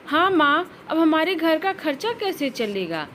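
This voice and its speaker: Woman voicing a high-pitched, plaintive wife bird. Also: big pitch swings, measured pace, fairly bright tone, faint music behind, full character voice. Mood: distressed. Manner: plaintive, lamenting. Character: worried female bird, a farmer's wife.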